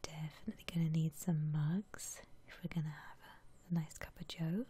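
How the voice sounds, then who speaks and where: Woman, very breathy whisper, dark soft tone, unhurried, gentle ASMR game commentary. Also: low voice, steady tone. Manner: gentle, unhurried, musing aloud. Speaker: ASMR streamer playing a house-decorating game.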